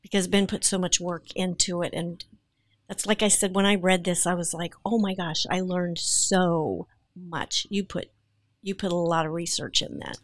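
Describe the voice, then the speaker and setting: A woman with a slightly low voice tells stories in an easy conversational way. She leans on key words for emphasis, recorded close and dry. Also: fairly even intonation, moderate pace, no echo. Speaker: actress guest. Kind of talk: casual storytelling in podcast chat.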